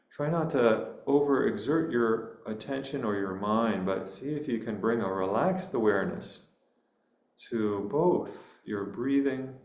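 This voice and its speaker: Male meditation guide. Very slow, gentle delivery with space between phrases, mid-pitched, recorded in an echoing room. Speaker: meditation teacher.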